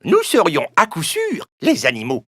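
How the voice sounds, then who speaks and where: A woman voicing a scheming, eager jungle monkey with a husky voice in a children's storybook. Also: fairly low pitch, huge pitch swings, normal speed, fairly bright tone, dry recording, studio quality, heavily acted. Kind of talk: storybook cartoon dialogue.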